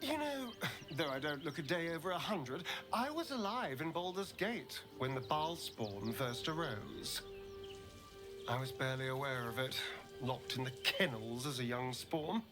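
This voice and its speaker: An actor playing a flamboyant, wry vampire rogue in a video game, with an arch, teasing, theatrical manner. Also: male voice, fairly high pitch, expressive, measured pace, music in the background. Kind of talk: video game companion dialogue.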